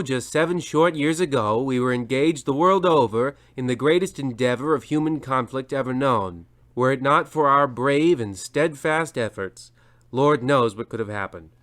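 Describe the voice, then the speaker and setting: A man's voice: medium pitch, a bit bright. A man hosting a horror radio show, speaking with formal, theatrical announcer delivery.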